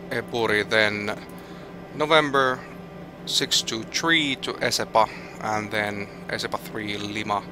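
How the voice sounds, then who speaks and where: A man with a Finnish accent streaming a flight simulator, thinking aloud and reading out weather and route figures with hesitant restarts. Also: lowish voice. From Finland. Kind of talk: live gameplay commentary, thinking aloud.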